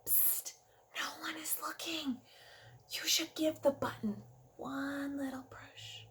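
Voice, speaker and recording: Woman voicing an excitable, high-pitched mischievous little storybook monster. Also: takes it slowly, a little hiss or hum. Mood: sneaky whispering. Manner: playful, conspiratorial, exaggerated. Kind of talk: animated children's storybook reading.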